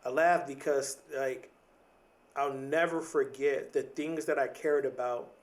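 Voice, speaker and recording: This is a man in his late thirties with an average-pitched voice. He speaks as a podcast host in a casual, motivational monologue. His delivery is conversational and reflective, leaning on repeated phrases to drive things home. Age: late 30s. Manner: reflective, conversational, emphatic repetition.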